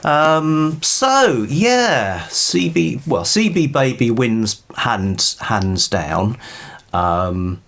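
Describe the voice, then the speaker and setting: A man's voice: medium pitch, big pitch swings, very slow, fairly bright tone. A man reviewing books casually, chatting in a rambling way with wry understatement. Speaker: book reviewer. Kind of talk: casual book review to camera.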